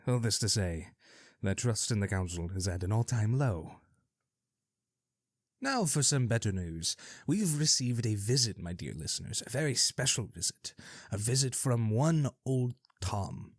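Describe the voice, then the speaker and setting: A man with a lowish voice hosts a scripted fantasy radio broadcast. He talks in a wry, theatrical manner, full of knowing asides. The sound is close and dry, with no room around it. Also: big pitch swings, measured pace, no echo. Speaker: radio show host.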